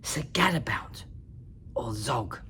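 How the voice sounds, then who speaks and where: A woman narrating a children's picture book in an expressive, dramatic, singsong way, like a principal at story time. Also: very deep voice, takes it slowly, slight background noise. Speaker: school principal reading to children.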